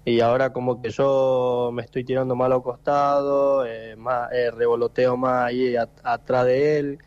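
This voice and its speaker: Young Argentine footballer, monotone and dark-toned, halting phone interview, lo-fi line. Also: male voice, medium pitch, smooth. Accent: Argentine Spanish. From Tucumán, Argentina. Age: 20s. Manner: halting, repeats words, many fillers. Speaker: footballer guest.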